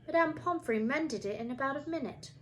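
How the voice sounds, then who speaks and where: Lady putting on a scared little schoolboy from a story, high n plaintive, faint noise behind. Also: expressive, brisk. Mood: reassuring. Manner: plaintive, pleading. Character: timid, forlorn, frightened schoolboy, pleading.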